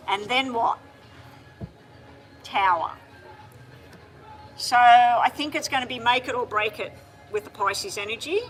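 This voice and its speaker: A woman doing a live-streamed tarot reading, talking straight to viewers in a chatty, hurried way. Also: a little high, pushed and bright, low-quality recording. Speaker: tarot reader.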